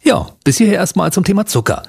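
Husky, jovial man hosting a radio talk. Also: fast talker. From Berlin, Germany. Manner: jovial, laughs often, lively pitch swings. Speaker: radio podcast host. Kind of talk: casual talk show interview.

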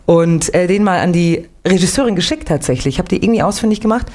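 A young Swiss woman, an actress and singer, chatting lively as a guest in a late-night radio interview, with a faint Swiss German accent. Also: on the low side, fast. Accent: slight Swiss German. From Switzerland, Winterthur. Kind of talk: relaxed radio interview chat.

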